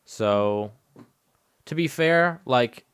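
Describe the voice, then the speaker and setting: A man's voice: mid-range voice, expressive, drawn-out words, clean tone. A young man hosting a casual podcast, joking around, swearing and laughing a lot. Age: young adult. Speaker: podcast host.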